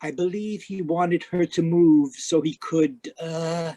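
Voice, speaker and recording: Hesitant radio-mystery witness, played by a woman: unhurried, lowish-key delivery, dry close sound. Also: steady tone, warm tone, dry recording. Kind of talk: old-time radio detective acting.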